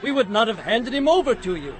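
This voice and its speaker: An actor playing an angry, accusing mob leader in a scripture audio drama, strident and vehement. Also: male voice, extremely high pitch, sing-song, fast talker. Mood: indignant.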